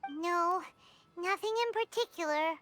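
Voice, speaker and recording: A woman voicing a tiny, childlike, chirpy fairy companion in a video game, with a squeaky, eager delivery. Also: unhurried, a bit bright, a little hiss or hum. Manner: exclamatory, eager, refers to self by name.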